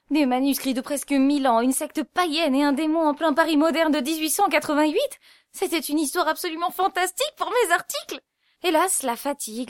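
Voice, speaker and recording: A young woman playing a bold, curious journalist and singing student of 1888 Paris in a French audio drama, expressive and literate in her speech. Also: high-pitched, natural voice. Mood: elated narration. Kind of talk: French audio drama acting with first-person narration.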